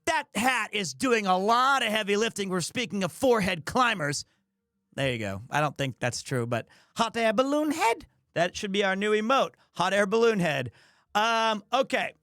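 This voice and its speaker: A man's voice: high voice, lively intonation, moderate pace, bright, forceful tone, no room sound, clean studio sound. A man hosting a livestream with casual, joking, self-deprecating rambling. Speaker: streamer, host. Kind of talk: casual livestream chat with viewers.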